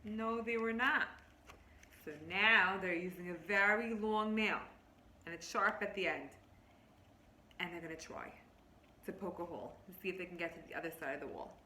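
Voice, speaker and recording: A woman with a bright, slightly low voice tells a story as a teacher would to young children. She reads expressively, then breaks off to explain and pose questions to her listeners. The room adds a clear echo around her voice. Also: moderate pace, long pauses. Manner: expressive, instructive, addressing children directly. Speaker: teacher reading a picture book to children. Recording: roomy, echoing, faint background noise.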